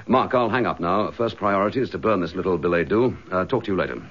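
An actor playing an embattled, indignant British police chief inspector in a radio drama, clipped, forthright and sardonic. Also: male voice, sits low, animated, fast talker, noisy background. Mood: tense, urgent. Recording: slightly dull radio drama recording.

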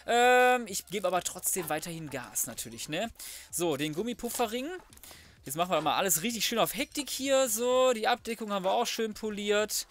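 A man's voice: high-pitched, lively intonation, moderate pace, a bit bright, slight background noise. A man giving casual, slangy gameplay commentary, chatting through each step as he goes. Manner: chatty, self-narrating, slangy. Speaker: let's player commentating.

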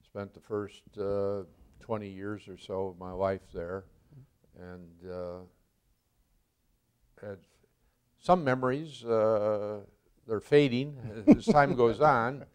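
An older man from Milwaukee, a retired chemistry professor, recalling his life in an oral history interview, speaking slowly with many pauses and fillers. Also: lowish voice, clear, resonant tone. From Milwaukee, Wisconsin.

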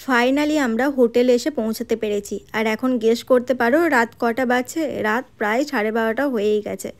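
A woman's voice: a little high, steady tone, fairly quick. Chatty woman narrating a travel vlog. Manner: chatty, addresses viewers directly with tag questions. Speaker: travel vlogger. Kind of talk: voice-over narration of a road trip vlog.

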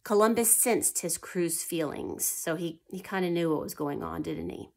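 A woman's voice: on the low side, expressive, moderate pace, creaky. Woman reading history to young kids. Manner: asks children questions and answers them herself; drops to a whisper for suspenseful parts. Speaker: homeschool history teacher. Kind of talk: reading a history book aloud to young children.

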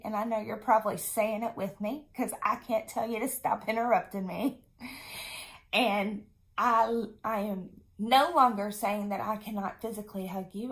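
A woman in her thirties giving young children a lesson, speaking as an encouraging, deliberate teacher. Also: medium pitch, low-quality recording. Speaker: elementary school teacher. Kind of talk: children's video mini lesson.

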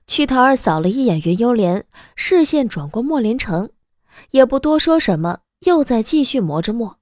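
A woman's voice: on the high side, sing-song, normal speed, dry recording, clean studio sound. A woman narrator reading a story in a dramatic audiobook style. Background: soft background music.

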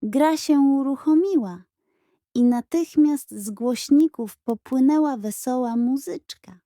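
A woman storyteller reading a scripted children's bedtime story, articulate and expressive. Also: high-pitched, slow pace, no room sound, clean studio sound.

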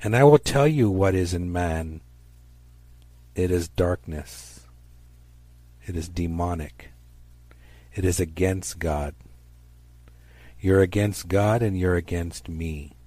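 A man delivers a fire-and-brimstone sermon in a low, mellow-toned voice. His manner is stern and accusatory, with declamatory emphasis on his phrases. The sound is dry and close, without room reflections. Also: takes it slowly, pauses often, no echo. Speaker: preacher.

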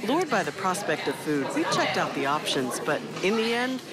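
A woman's voice: fairly low pitch, big pitch swings, normal speed, a loud crowd. An American woman reporter reading scripted narration for a TV feature story. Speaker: TV news reporter.

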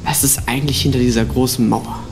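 A lenient, restless guard on a city wall, acted by a man in a school radio play. The voice is of middling pitch, with faint music behind it. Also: fairly even intonation, fast, creaky, natural voice. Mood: weary.

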